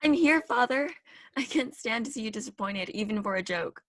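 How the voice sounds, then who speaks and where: A woman's voice: fairly high pitch, expressive, moderate pace, a bit bright, no echo. A woman playing a dutiful, affectionate young working daughter in a radio play.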